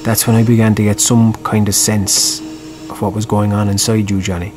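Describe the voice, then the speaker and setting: Actor as reflective Irish writer, music underneath. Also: male voice, fairly low pitch, little pitch movement, normal speed, lightly acted. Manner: probing, reflective. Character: probing, reflective male writer telling a troubled friend's story. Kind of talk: radio drama narration and dialogue.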